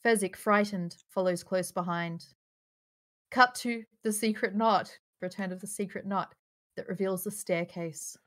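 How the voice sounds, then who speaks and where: A woman narrates a screenplay at a table read. Her pitch is middling, and she reads stage directions aloud with occasional casual asides. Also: steady tone, unhurried, a bit bright. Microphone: home microphone on a group video call.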